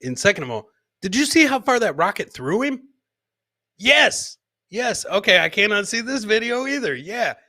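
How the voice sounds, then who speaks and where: A man hosting a live comedy show, joking crudely about video clips in an animated way and repeating his lines for emphasis. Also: sits high, normal speed. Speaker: podcast host.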